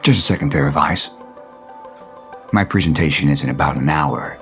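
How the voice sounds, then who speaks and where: An actor playing a wistful wealthy property developer in an audio soap opera, speaking tenderly and haltingly. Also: male voice, extremely low pitch. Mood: earnest, hopeful. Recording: music bed under the dialogue.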